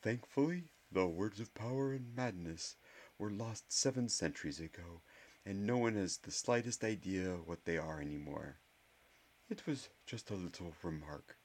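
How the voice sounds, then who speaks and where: A man voicing an eccentric, playful old male wizard headmaster in a soft, airy, cheerful tone. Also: deep voice, moderate pace.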